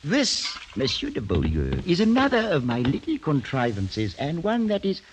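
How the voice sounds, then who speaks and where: Sardonic old aristocrat, wildly swooping voice. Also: male voice, on the high side, huge pitch swings, normal speed. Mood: smug relish. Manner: mock-courteous, theatrical, drawling relish. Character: sardonic, cruel aristocratic uncle, gleefully scheming. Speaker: radio drama actor. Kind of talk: mid-century radio drama acting. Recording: noisy vintage radio recording.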